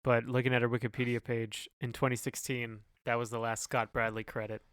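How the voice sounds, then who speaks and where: Guy on a music podcast, smooth clear voice, dry sound, chatting casually, feels around for the right words. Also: medium pitch, level tone, a little fast, fairly bright tone, no room sound. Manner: hesitant, groping for descriptive words. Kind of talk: casual chat reviewing songs.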